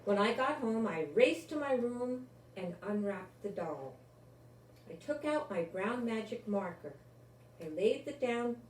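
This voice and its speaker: An older woman reads a children's story aloud in a slow, expressive way, pausing to add her own comments. She sounds a little far from the microphone in an echoing room, with faint noise behind her. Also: mid-range voice. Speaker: grandmother reading a children's book aloud. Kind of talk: storybook read-aloud with asides. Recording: roomy, distant microphone, faint background noise.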